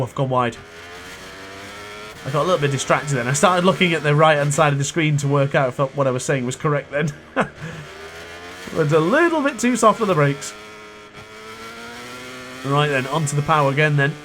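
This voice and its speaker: A young man with a cold-hoarsened voice, chatting and thinking aloud while he commentates his own racing game play. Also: fairly high pitch, a bit bright, slight background noise. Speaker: gaming youtuber. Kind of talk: live gameplay commentary.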